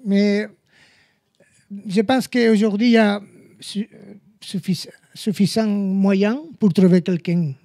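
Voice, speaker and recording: A man with a Spanish accent, a guest painter talking about his work, hesitant and halting, often restarting his sentences. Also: high-pitched, steady tone, warm tone, no room sound, clean studio sound. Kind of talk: casual chat.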